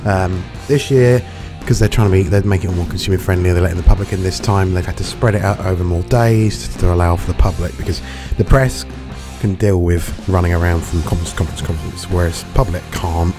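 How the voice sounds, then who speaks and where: A hungover man with a gravelly voice and dry throat, rambling through casual, sweary commentary as a gaming reviewer. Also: low-pitched, fairly even intonation, quick pace, few pauses. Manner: rambling, chatty, swears freely. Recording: music playing underneath.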